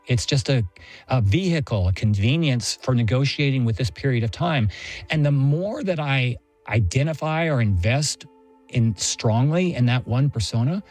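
A man's voice: medium pitch, darkish tone. A middle-aged American man speaking as an interview guest, explaining ideas conversationally in a thoughtful, professorial way. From Texas, United States. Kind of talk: conversational explanation and personal anecdote.

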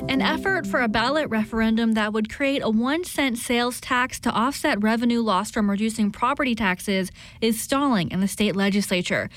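Higher-pitched female newscaster over music. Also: moderate pace, hardly any pauses. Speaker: radio newscaster. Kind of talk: scripted newscast.

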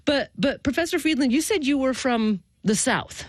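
A woman with a Western US accent from Oregon, hosting a radio talk show in a lively, earnest way. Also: sits high, no room sound. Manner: lively, earnest, a little halting in chat. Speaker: radio host.

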